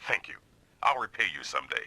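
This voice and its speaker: A man's voice: low-pitched, sing-song, quick pace, gravelly, noisy background, heavily acted. An actor voicing a big, burly, good-natured but touchy male mech pilot in an anime-style video game, theatrical and blustering. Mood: weary, grateful.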